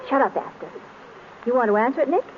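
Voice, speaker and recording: A woman's voice: a little high, lively intonation, fast, lightly acted. Woman playing a coaxing wife, muffled old radio. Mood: mildly cross. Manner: teasing, nudging. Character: playful, coaxing, persistent detective's wife. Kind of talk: 1930s radio drama acting. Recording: dull, noisy old radio recording.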